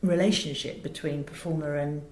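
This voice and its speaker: British woman, low-pitched, in an echoey room. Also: fairly even intonation, moderate pace, a little mellow, slight background noise. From England. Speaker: interview guest, audio director and producer. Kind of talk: animated conversational storytelling in an interview. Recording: roomy, echoing interview sound.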